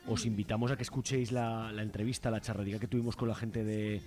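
Quick, low-voiced Spanish male radio host. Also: level tone, darkish tone. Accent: Peninsular Spanish. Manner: uses frequent checking tags. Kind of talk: conversational interviewing.

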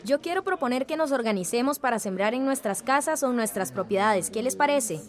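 A woman's voice: a little high, expressive, brisk, over music, close to an everyday voice. A woman acting in a radio drama as a practical villager chairing a meeting, earnest and orderly. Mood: proposing.

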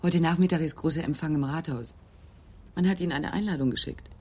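A woman's voice: low voice, a little fast, mellow, slight background noise, close to an everyday voice. An actress playing a loyal female police secretary in a radio play, businesslike and confiding.

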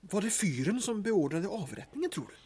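A man playing a naive, eager young sidekick who dreams of riches in an audio drama, with an excitable manner. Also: high voice, slightly rough, exaggerated voice. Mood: curious. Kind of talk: serial audio drama acting.

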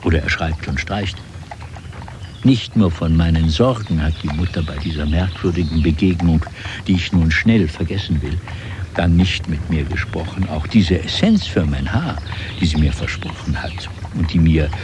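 A reflective, sombre narrator of literary memoirs. His voice is deep and moves expressively through its range, with deliberate dramatic pauses. Noticeable background noise runs behind the radio recording. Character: storyteller reciting memoirs, sombre, wry, reflective.

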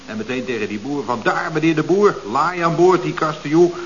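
An actor playing an eager, chatty employee who needles his boss in colloquial, excitable speech in a radio comedy. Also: fairly high pitch, brisk, a little hiss or hum, lightly acted. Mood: enthusiastic. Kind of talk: 1970s radio comedy serial acting. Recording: dull old radio recording.

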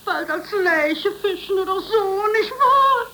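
Casting: very high-voiced, haughty East Prussian lady, plaintive and swooping, vintage radio play with faint noise. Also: expressive, slow pace, pushed and bright, full character voice. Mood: haughty indignation. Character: indignant upper-class lady caller from East Prussia. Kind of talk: 1930s radio acting. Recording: noisy vintage 1930s radio recording.

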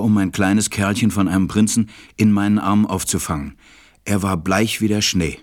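A stranded pilot telling his story in a radio play. His voice is low, tender and melancholy. It is recorded dry and close. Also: dry recording. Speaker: narrator. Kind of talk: audio drama narration and acting.

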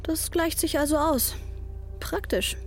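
A woman's voice: high-pitched, takes it slowly, soft background music. A woman playing a sixteen-year-old artistic daughter in a German radio drama, dry, matter-of-fact and colloquial as she talks and narrates. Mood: dry, wry.

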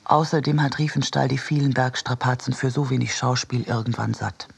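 Husky, creaky male radio narrator. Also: medium pitch, flat delivery, a little fast. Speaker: reader of a literary essay. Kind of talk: scripted narration in a radio production.

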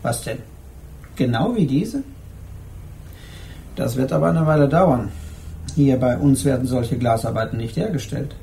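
Creaky, mellow shopkeeper. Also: male voice, medium pitch, measured pace, long pauses, a little hiss or hum. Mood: businesslike. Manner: matter-of-fact, dry. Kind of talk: German radio play acting.

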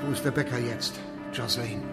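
An actor playing an exasperated leader of a space colony in a German radio play, agitated and emphatic in standard German. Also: male voice, medium pitch, steady tone, brisk, over loud music, natural voice.

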